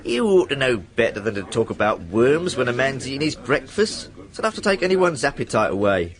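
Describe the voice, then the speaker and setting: An actor playing a bossy, grumbling police sergeant with a working-class English accent in a radio drama. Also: male voice, mid-range voice, very animated, brisk, close to an everyday voice. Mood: indignant, pompous. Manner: officious, grumbling, colloquial.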